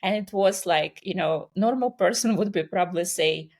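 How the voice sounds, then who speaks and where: Ukrainian-accented woman, low voice. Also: moderate pace, a little mellow. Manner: non-native English with grammar slips, many fillers. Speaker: podcast guest. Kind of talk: casual storytelling interview.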